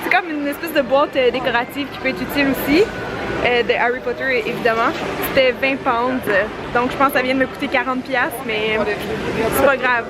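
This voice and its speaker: A woman's voice: high voice, steady tone, a little fast, poor audio. A woman from Canada with a Québécois accent, chatting casually and laughing often in a travel vlog recorded on a handheld camera. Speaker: vlogger.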